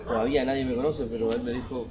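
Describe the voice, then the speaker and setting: A man's voice: mid-range voice, steady tone, fast. Middle-aged Chilean man, crowd noise. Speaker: guest. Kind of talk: casual anecdotal conversation.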